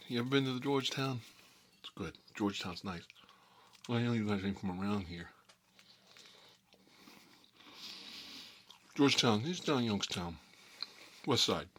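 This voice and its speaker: A man's voice: lowish voice, lively intonation, brisk, a bit bright, a little hiss or hum. A man playing a chatty, boastful American mob boss who rambles and repeats himself in a casual ASMR role-play monologue. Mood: chatty, satisfied. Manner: folksy, repetitive, rambling, emphatic. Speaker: ASMR storyteller playing a recurring character.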